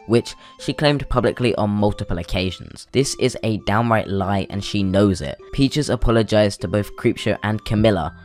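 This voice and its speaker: Male guest commentator, lowish voice, scripted opinion piece, faint music behind.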